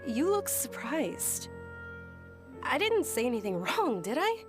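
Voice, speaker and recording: A woman playing a gentle, earnest and polite young woman in an anime-style video game. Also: sits high, sing-song, slow, over loud music, full character voice.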